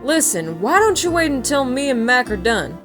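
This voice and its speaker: A woman voicing a grown pony farm mare, a weary, blunt big sister with a folksy, expressive delivery, in an amateur audio drama. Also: high-pitched, moderate pace, music in the background. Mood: weary, grudging.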